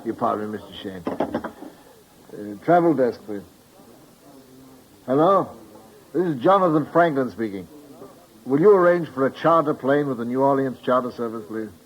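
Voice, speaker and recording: Man acting a courteous, unhurried radio-drama gentleman. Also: medium pitch, animated, slight background noise, close to an everyday voice, rough recording. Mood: polite, businesslike. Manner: formal, polite, measured.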